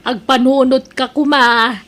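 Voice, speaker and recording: A woman's voice: high-pitched, steady tone, unhurried, a bit bright. An actress playing a pious, concerned mother who earnestly scolds and advises her grown son in an audio drama. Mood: earnest pleading. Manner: admonishing, earnest. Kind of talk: Ilocano radio-style drama acting.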